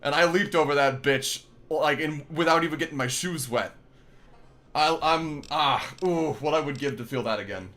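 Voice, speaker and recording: Bright-voiced rambling male streamer. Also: fairly high pitch, normal speed. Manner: rambling, swearing, laughing, reads chat aloud; playfully tries out a put-on accent. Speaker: game streamer. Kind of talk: casual live-stream chat.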